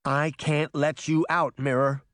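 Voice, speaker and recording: A man's voice: medium pitch, lively intonation, normal speed, no room sound, close to an everyday voice. Guy reading young girl hero in an audiobook, earnest, worried but defiant, dry studio sound. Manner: earnest, troubled. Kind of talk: audiobook character voice.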